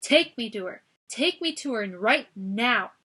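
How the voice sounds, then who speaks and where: A woman's voice: mid-range voice, lively intonation, normal speed, sharp tone. Woman voicing a hot-tempered young boy scout. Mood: furious. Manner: urgent, demanding.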